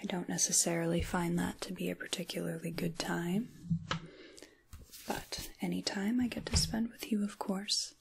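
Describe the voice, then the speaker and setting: A woman playing a kindly, caring witch in a soft, intimate ASMR tarot roleplay, largely whispered, with a gentle, reassuring manner. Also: lowish voice, steady tone, normal speed, vocal fry, noisy background. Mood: fond, soft.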